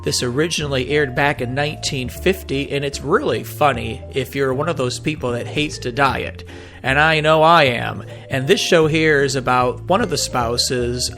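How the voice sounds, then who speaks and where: Casting: male host for a nostalgia radio program, conversational, mid-pitched, light music underneath. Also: moderate pace, a bit bright. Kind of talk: casual show introduction. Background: light guitar music.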